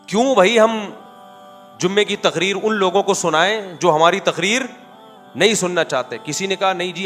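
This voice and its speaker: A man preaching in a conversational, animated way, telling anecdotes with quoted dialogue and rhetorical questions. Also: a little high, long reverb tail. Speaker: Islamic preacher. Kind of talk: conversational religious talk.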